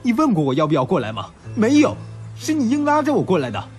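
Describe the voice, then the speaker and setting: A man playing a blunt, teasing young university student who is quick to flare up, in an audio drama that he also narrates. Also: high voice, wildly swooping pitch. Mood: angry, fed up. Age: young adult. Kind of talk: Mandarin audio drama acting and first-person narration. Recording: background music under the voice.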